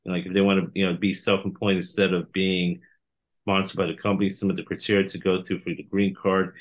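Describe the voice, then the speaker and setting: A man's voice: low-pitched, flat delivery, fast. A man hosting an interview, affirming his guest in a loose, rambling way with many fillers. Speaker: interview host. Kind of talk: casual interview chat.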